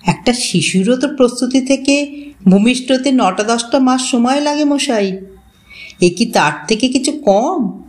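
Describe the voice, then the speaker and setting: A woman voicing a polite, smooth-talking male book publisher in an audio story, courteous and unhurried. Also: sits high, darkish tone, voice pushed up. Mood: amused.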